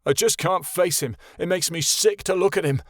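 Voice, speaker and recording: A man's voice: high voice, moderate pace, no echo, clean studio sound, voice pushed up, natural voice. A man voicing a shy, resentful young warlock.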